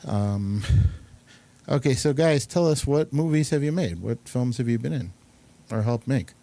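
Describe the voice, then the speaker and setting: Slow, mellow man hosting a show. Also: mid-range voice, lively intonation, no room sound. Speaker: talk show host. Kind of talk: casual interviewing.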